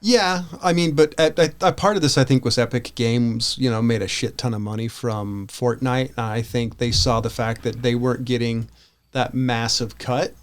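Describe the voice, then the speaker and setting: An American man with a mid-pitched voice chats casually as a podcast co-host. He rambles a little, hesitating and correcting himself as he thinks a point through. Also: fairly even intonation, normal speed, clear, resonant tone. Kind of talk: casual tech discussion.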